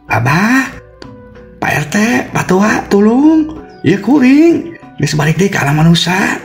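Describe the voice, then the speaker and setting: Storybook ghost woman male performer: high, thin, pleading cries for help, music underneath. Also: very animated, measured pace, heavily acted. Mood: pleading, calling out. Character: Ambu Edo, wailing woman ghost crying for help.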